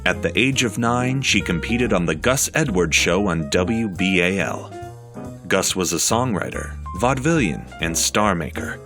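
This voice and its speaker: A man giving measured, formal scripted narration for a documentary. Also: sits low, expressive, over music.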